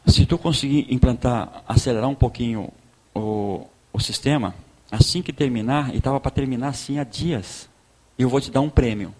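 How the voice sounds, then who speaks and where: A man with a southern Brazilian accent from Rio Grande do Sul, preaching informally in a conversational, halting storytelling way, repeating words and acting out dialogue. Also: mid-range voice, a little mellow, rough recording. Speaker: pastor giving a talk. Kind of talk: informal sermon with personal testimony.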